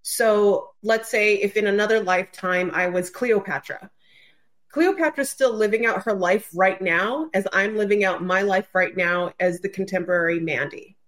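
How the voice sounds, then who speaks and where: A woman with a mid-pitched voice speaks as a guest in a casual podcast chat. She is effusive and exclamatory, telling things in an informal, chatty way. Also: moderate pace.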